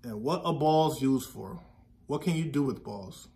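A man's voice: mid-range voice, animated, measured pace, creaky, mellow. A man in his thirties reading a picture book aloud to young children, stressing key words and putting questions to them. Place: indoor classroom.

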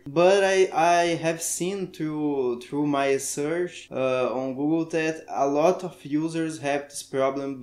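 Slow, halting Brazilian man with echo. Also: medium pitch. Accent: Brazilian Portuguese. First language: Portuguese. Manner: halting, searches for English words, many fillers. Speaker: tech tutorial maker. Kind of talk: screen-recorded software tutorial.

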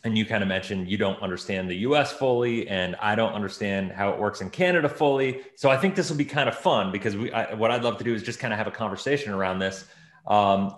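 An American man in his forties hosting a casual interview, talking in an informal, wordy, rambling way. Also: on the low side. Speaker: video host interviewing a guest. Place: small indoor home office with bookshelves, on a video call. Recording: roomy, echoey video call.

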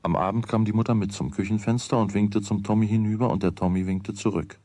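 A man's voice: fairly low pitch, steady tone, fast, mellow, dry recording, lightly acted. A man narrating a children's radio play as its storyteller, in a scripted storytelling style. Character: storyteller of a boy's everyday adventures.

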